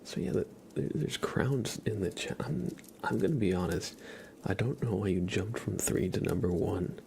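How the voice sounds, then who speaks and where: A soft-spoken man hosting an ASMR livestream, chatting casually and unhurriedly close to the mic, drifting between whispers and a low murmur. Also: moderate pace, gravelly, slight background noise. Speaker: ASMR live streamer.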